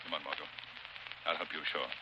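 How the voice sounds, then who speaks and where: An actor playing a steady, shrewd gentleman crime-fighter in a 1930s radio drama, measured and resolute. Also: rumbling low. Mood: cautious, steady. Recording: noisy, hissy 1930s radio recording.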